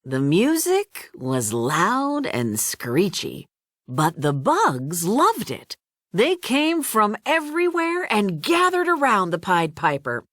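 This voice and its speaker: A woman reads a children's storybook aloud with a hugely animated voice. She takes her time, narrating deliberately and expressively in a close, dry recording. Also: medium pitch, no room sound. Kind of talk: scripted children's read-along narration.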